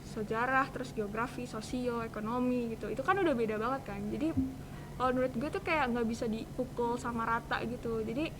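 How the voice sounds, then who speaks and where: A high-pitched teenage young woman with a Jakarta Indonesian accent. She explains things in relaxed everyday slang, as a guest in a podcast conversation. Noticeable background ambience runs behind her. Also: a little fast, background noise. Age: teens.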